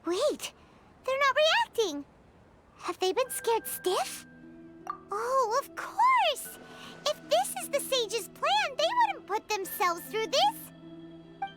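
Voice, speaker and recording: A woman voicing a small, excitable, childlike fairy companion in a video game, squeaky and given to exclaiming. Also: takes it slowly, pauses often, over music, heavily acted. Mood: exasperated, realizing. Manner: exclamatory, refers to self in third person.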